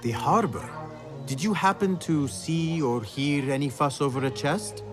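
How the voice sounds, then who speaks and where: An actor playing an ambitious, idealistic young street thief in a video game, speaking in formal, earnest phrases. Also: male voice, fairly high pitch, measured pace, lightly acted. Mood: curious, wry. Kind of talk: dramatic video game voice acting. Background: music.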